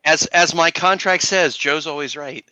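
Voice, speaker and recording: A man's voice: on the high side, moderate pace, pushed and bright, dry recording, poor audio. A man chatting casually and playfully as a panelist on a video call, with a theatrical streak.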